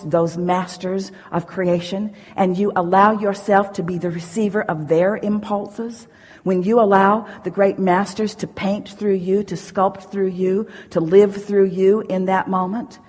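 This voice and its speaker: A woman giving a motivational spiritual talk as a teacher, speaking as "we", emphatic and deliberate, repeating phrases for emphasis. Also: medium pitch, a little mellow, husky. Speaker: spiritual teacher answering audience questions. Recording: echoey hall with long reverb.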